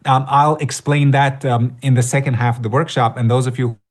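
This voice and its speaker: A man's voice: medium pitch, steady tone, brisk. Conversational man hosting a live workshop. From Latvia. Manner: conversational, many fillers and restarts. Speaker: workshop host. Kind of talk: live webinar presentation.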